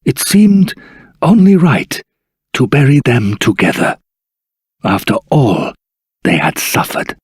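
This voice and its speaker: A very raspy old grandfather storyteller. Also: medium pitch, wildly swooping pitch, no room sound, clean studio sound, exaggerated voice. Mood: mournful, confessional. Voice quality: husky, raspy. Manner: formal, measured, dramatic pauses. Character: doddering old grandfather of a dragon-folk race, solemn storyteller. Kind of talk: theatrical storytelling for a fantasy video game.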